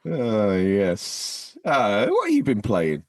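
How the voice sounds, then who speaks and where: Lively, teasing podcast host. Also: male voice, lowish voice. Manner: teasing, quick banter. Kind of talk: casual chat.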